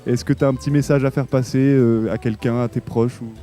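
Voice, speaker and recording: A man's voice: mid-range voice, fairly even intonation, a little fast, warm tone, soft background music. A man hosting casual post-game interviews in an encouraging, congratulatory manner. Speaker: interviewer, show host.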